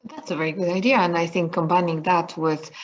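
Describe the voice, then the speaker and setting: A woman's voice: lowish voice, steady tone, a little fast, muffled recording, slight background noise. A woman moderating an online conference Q&A over a video call, speaking hesitantly with many fillers.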